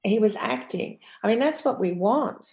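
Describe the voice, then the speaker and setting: A woman giving her opinions in a measured way as a guest in a panel discussion. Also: a little high, expressive, creaky, darkish tone. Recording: echoey room sound.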